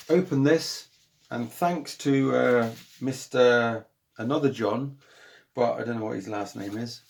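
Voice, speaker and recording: A British man hosting a casual record unboxing in a calm, soothing voice with a gravelly edge. He rambles along at a middling pitch, stopping to correct himself and filling the gaps with ums. A faint hiss sits under his voice. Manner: rambling and chatty, with many ums and self-corrections. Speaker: vinyl collector and channel host.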